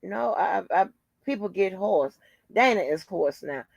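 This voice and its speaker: A woman's voice: mid-range voice, animated, a little slow, darkish tone, dry recording. A woman, hosting a casual gossip commentary, swearing freely, laughing often and calling listeners baby and honey. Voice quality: hoarse. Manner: cackling, profane, calls listeners baby and honey. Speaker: channel host.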